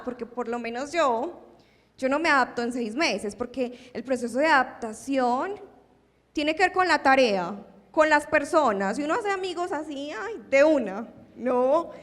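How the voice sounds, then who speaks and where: A woman giving a talk at an academic conference, lively and full of rhetorical questions to her audience. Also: high-pitched, measured pace. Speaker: lecturer. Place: lecture hall. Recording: long hall reverb.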